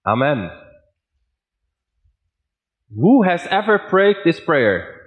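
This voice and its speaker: Slow, measured Dutch-accented preacher. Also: male voice, a little high, big pitch swings, highs cut off. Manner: plain simple wording, measured delivery. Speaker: guest preacher. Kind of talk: sermon.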